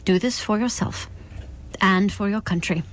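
A woman's voice: mid-range voice, normal speed, close to an everyday voice. A woman voicing a stern, cryptic otherworldly visitor in a dramatic book reading, solemn and commanding. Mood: solemn warning.

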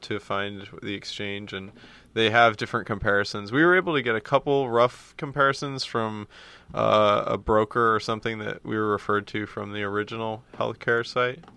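A man taking part in casual live radio chat, speaking in a halting, earnest, mild-mannered way. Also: fairly low pitch, creaky, sharp tone, clean tone, dry recording. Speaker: radio show participant.

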